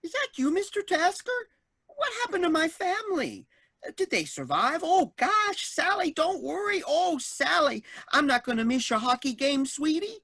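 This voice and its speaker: A woman's voice: high-pitched, sing-song, moderate pace, a bit bright, no echo, heavily acted. A woman playing a folksy, earnest Canadian cop trapped inside a robot, with a thick Canadian accent, in a comedic audio drama. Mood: frantic, worried.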